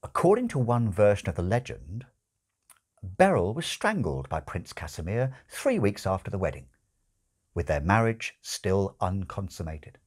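A man in his sixties reads a comic novel aloud expressively, with dramatic, wryly emphatic phrasing and deliberate pauses, recorded in a room at home. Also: sits low, very animated, a little mellow, breathy edge. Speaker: audiobook-style reader. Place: small furnished room at home, indoors.

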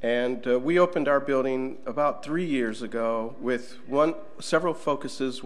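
A middle-aged man giving a conference presentation in a casual, conversational way, with fillers, at a table microphone in a conference room. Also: medium pitch. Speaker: conference speaker.

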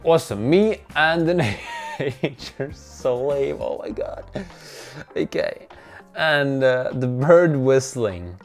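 A man's voice: mid-range voice, animated, slow pace, soft background music. A young man with a Russian accent, a native Russian speaker, narrating his own vlog in a casual, upbeat and self-mocking way, recorded on a lapel mic in a small bedroom. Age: young adult. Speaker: vlogger. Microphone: clip-on lapel mic.

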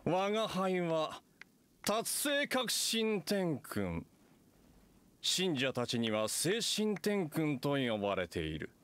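A man's voice: on the high side, wildly swooping pitch, slow, a bit bright, no room sound, exaggerated voice. A man playing a pompous fake immortal sage in a theatrical anime game style, with grand archaic phrasing. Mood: grandiose. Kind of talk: Japanese anime game acting.

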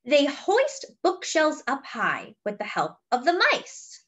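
A woman's voice: sits high, big pitch swings, slow pace, fairly bright tone. A woman reading a picture book aloud expressively, with chatty, wondering asides to young listeners. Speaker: children's story reader.

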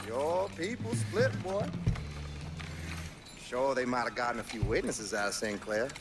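A man's voice: medium pitch, very animated, measured pace. A man playing a cruel, smug racist sheriff in a video game, taunting with a drawling American Southern accent. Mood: gloating menace. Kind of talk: video game cutscene acting. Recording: loud music under the voice.

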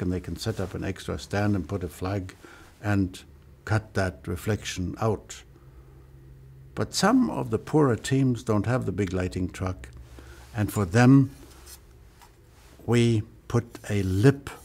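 An older man with a lowish voice walks through a piece of lighting gear in an unhurried, measured way. He shows each part with his hands as he goes. It was recorded in a studio with off-camera sound. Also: gravelly, a little mellow. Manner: demonstrates with his hands while explaining. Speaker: lighting product designer. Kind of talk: explaining a product on camera.

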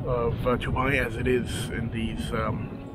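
A man's voice: mid-range voice, flat delivery, unhurried, heavy background noise. A man in his thirties casually narrating a travel vlog outdoors, speaking to a camera held at arm's length, chatty and hesitant with many fillers. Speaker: travel vlogger. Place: outdoors at desert ruins and rock canyon. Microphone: handheld camera at arm's length. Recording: music playing over it.

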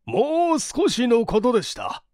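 A man voicing an anxious, excitable country gentleman in a dramatic story reading, with an agitated, emphatic delivery. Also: very high voice, unhurried, no echo, clean studio sound, pitched above the performer's own voice, heavily acted. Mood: regretful, worked up.

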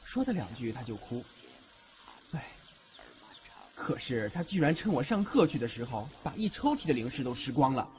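A man's voice: fairly high pitch, darkish tone. A man acting an aloof, grumbling young university student in an audio drama, with a blunt, exasperated manner. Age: young adult. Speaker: lead actor in a Chinese audio drama. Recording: slightly dull recording with music behind.

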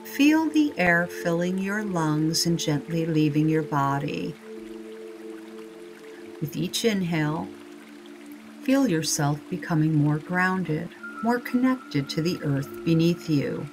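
A woman guiding a scripted meditation in a calm, unhurried, soothing manner. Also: deep voice, over music. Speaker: meditation guide. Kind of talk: scripted guided meditation narration.

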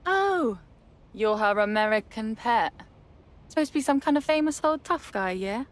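An actress playing a cheeky, sly smuggler in a video game, with a drawling, sardonic, teasing manner. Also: female voice, on the high side, animated, normal speed, natural voice. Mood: feigned vagueness. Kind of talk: video game cutscene acting.

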